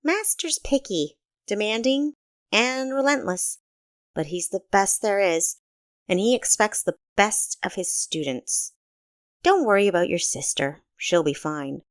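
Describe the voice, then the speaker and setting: A woman's voice: mid-range voice, lively intonation, measured pace, no echo, clean studio sound, lightly acted. A woman voicing a precocious, assured nine-year-old boy in a storybook narration. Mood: earnest, reassuring.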